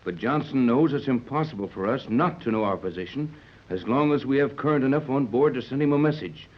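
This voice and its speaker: An actor playing a steady, fatherly sea captain who reasons things out, in 1930s radio serial style. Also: medium pitch, close to an everyday voice. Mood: thoughtful. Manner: measured, reassuring, explanatory. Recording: dull old 1930s radio recording.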